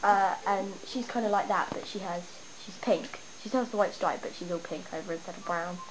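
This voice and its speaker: A chatty young woman with a cold, her voice a bit stuffy, rambling happily as she tours her game town. Her pitch sits in the middle, and the home recording carries a steady hiss of noise behind her. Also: a little fast. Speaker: gamer showing her town. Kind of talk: casual game walkthrough. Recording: noisy home camera recording. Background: steady background noise.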